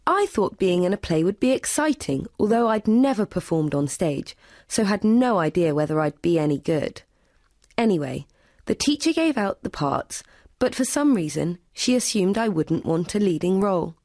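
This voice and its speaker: A woman reading a chatty, expressive scripted monologue for a listening exam. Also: medium pitch, normal speed, no room sound, studio quality. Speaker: exam recording speaker.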